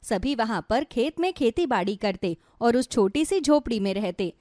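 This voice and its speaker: A woman's voice: fairly high pitch, animated, moderate pace, mellow, no room sound, clean studio sound. A woman narrating a scripted moral story as its storyteller.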